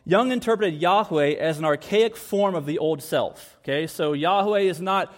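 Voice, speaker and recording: Man, pastor, sermon, higher-pitched voice, earnest, repeats lines for emphasis. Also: moderate pace. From United States.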